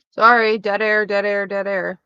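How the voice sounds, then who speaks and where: A woman's voice: mid-range voice, dry recording, dull audio. A woman with a Canadian accent chatting casually with her livestream viewers, rambling and swearing freely. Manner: rambling and profane, loose with frequent fillers.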